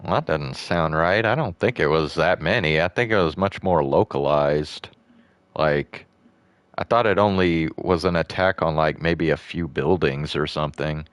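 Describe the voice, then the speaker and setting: A man's voice: a bass voice, normal speed, clean tone, no room sound. A young man streaming a game, chatting casually in a rambling, blunt way and swearing freely. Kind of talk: casual gameplay commentary.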